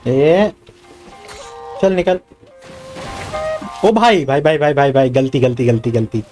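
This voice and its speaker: Indian male streamer, Hindi native, casual and excitable, very rapid repetitive bursts, faint game music behind. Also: mid-range voice. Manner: excitable, repeats words in bursts. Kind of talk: casual live gaming commentary.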